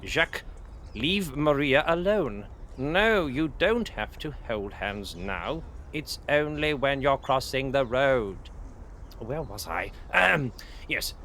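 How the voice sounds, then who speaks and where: Fussy, animated schoolteacher, higher-pitched. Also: male voice, a little hiss or hum, full character voice. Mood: flustered, scolding. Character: fussy, harried, kindly male schoolteacher herding children. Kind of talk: children's audio drama acting.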